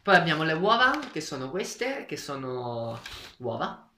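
Bright young man chatting in an echoey kitchen. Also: fairly high pitch, very animated, a little slow. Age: young adult. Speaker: co-host cooking. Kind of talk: casual cooking chat. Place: small tiled home kitchen, indoors. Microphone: camera across the table. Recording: echoey tiled kitchen, clear background noise.

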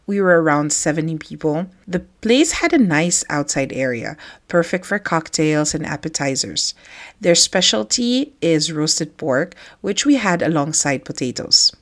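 A woman's voice: deep voice, expressive, a little slow. A middle-aged woman from the Philippines, hosting a podcast, telling a personal story in a chatty, reflective way with light laughs. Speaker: podcast host.

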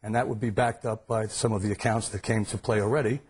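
A man's voice: on the low side, fairly even intonation, fast, a little mellow, breathy edge. A middle-aged man giving investigative commentary as a guest in a live television news studio.

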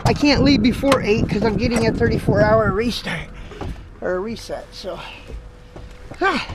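Folksy middle-aged trucker vlogging. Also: male voice, high voice, normal speed. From Ohio, United States. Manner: rambling, folksy, talks to viewers as "guys". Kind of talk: casual vlog talk to camera. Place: farmhouse kitchen, driveway and truck cab in cold weather. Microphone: handheld action camera. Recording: noisy handheld camera audio.

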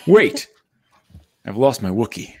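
A man's voice: mid-range voice, sing-song, slow, warm tone, breathy edge, exaggerated voice. A man playing an earnest, roguish young space smuggler in a comedic live table read. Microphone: home video call.

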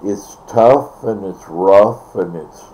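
An older man chatting casually and ramblingly, halting and repeating words, recorded on a device mic in a bedroom. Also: on the low side, warm tone. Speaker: vlogger. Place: small bedroom, indoors. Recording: dull, noisy webcam audio.

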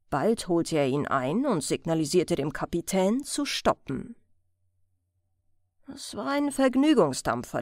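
A woman reading a crime story as an audiobook narrator in a measured, careful storytelling manner. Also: medium pitch, darkish tone, no room sound, clean studio sound.

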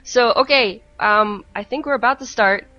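A woman co-hosting a casual live esports stream, speaking in a somewhat hesitant, halting way. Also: fairly high pitch, sharp tone, no echo, highs cut off. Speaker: esports co-caster. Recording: music playing under the voice. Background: music.

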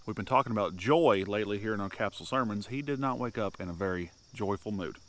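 A man's voice: lowish voice, fast. A man in his thirties giving a casual, earnest sermon to the camera from a grassy field at dawn, crickets chirping behind him. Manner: folksy and earnest, greets with "howdy" and "y'all". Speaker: homestead video preacher. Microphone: camera at chest height, talking straight to it.

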